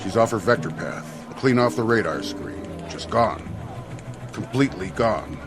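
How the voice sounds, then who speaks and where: A man's voice: lowish voice, animated, a little slow, over music. A man playing a grave, matter-of-fact mission control officer in a science fiction drama.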